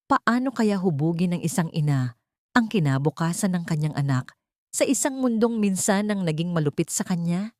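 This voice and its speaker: A woman narrating a dramatic audiobook story in a steady storytelling manner. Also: lowish voice, normal speed, dry recording, clean studio sound.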